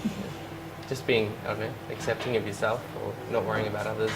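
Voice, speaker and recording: A young Australian man, a fashion model, answering interview questions in a relaxed, hesitant way with many restarts. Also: lowish voice, quick pace, creaky, rough recording. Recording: loud ambient noise behind.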